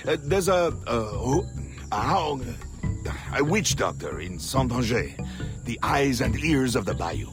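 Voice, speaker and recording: An actor playing a roguish, flirtatious smuggler with playful theatrical charm in a video game cutscene. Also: male voice, mid-range voice, big pitch swings, music in the background. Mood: sly, flirtatious. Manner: playful, mock-wounded, drawling, halting.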